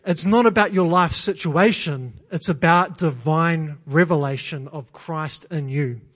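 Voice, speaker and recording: A man with a New Zealand accent preaching from a church pulpit. His voice sits fairly high, and he drives his points home with earnest repetition, lightened by self-deprecating jokes. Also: measured pace, fairly bright tone. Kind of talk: sermon.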